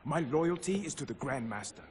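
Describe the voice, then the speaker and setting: A proud young clan assassin, acted by a man speaks in a slightly high male voice. He is intense, indignant and defiant, a video game warrior with faint ambience behind. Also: expressive, moderate pace, slight background noise, close to an everyday voice. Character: indignant, proud male assassin clansman, loyal, hot-tempered. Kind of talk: video game cutscene acting.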